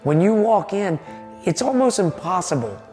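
A man's voice: sits high, big pitch swings, moderate pace, warm tone, soft background music. A man enthusiastically describing a fight organization in documentary narration.

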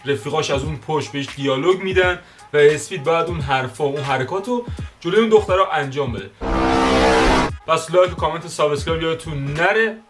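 Rapid-fire young male reaction host. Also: on the high side, music in the background. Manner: rapid, jokey, laughing asides. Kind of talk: casual reaction commentary. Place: small bedroom with wall tapestry.

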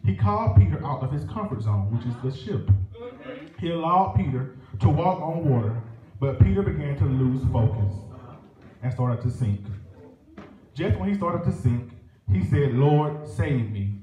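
Young preacher, echoey church. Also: male voice, fairly high pitch, expressive, normal speed, highs cut off. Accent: Southern US. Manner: rhythmic, building call-and-response preaching. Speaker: minister preaching a sermonette. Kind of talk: sermon with prayer. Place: church sanctuary. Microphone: stand mic at pulpit, filmed by far camera. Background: organ music.

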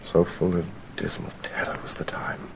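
An actor playing a troubled, haunted nobleman held prisoner, speaking gravely in a Shakespearean drama. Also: low voice, completely flat delivery, normal speed, in a whisper, poor audio. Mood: weary dread. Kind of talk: theatrical Shakespearean acting.

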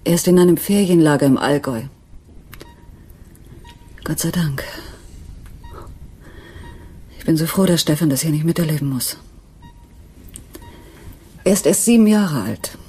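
Worried brooding wife in a radio drama, hushed and very breathy. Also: female voice, low voice, normal speed, long pauses. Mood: anxious. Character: anxious, brooding wife of a musician, former nurse. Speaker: radio play actress. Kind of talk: German radio drama acting.

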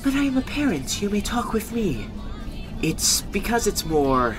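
A man voicing an anxious, guilt-ridden young goat monster prince in an animated comic dub, with a hesitant, expressive delivery. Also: sits high, measured pace. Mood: exasperated. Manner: hesitant, self-doubting, expressive. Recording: music behind the voice.

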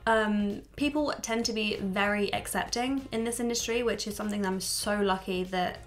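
A young woman talks casually to camera from a small room at home, candid and quick to swear. Her voice sits at a medium pitch and sounds a little stuffy from a cold. Faint music plays underneath. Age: 20s. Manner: chatty, candid, swears freely, laughs often. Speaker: YouTuber.

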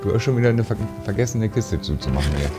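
A man's voice: on the low side, lively intonation, racing, over music. A man co-playing a game, chatting casually, teasing and laughing often. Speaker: co-player. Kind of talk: casual gaming chat.

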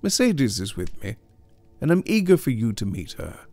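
A man's voice: medium pitch, wildly swooping pitch, normal speed, gravelly, mellow, no echo, faint music behind. A man reading aloud a letter in the voice of a charming, reckless adventurer who asks a favour. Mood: ingratiating. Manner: breezy, ingratiating.

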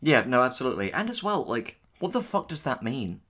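Male co-host, mid-pitched, casual and opinionated, with emphatic phrasing. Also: sing-song, fairly quick, a bit bright. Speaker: writer co-hosting a reaction discussion.

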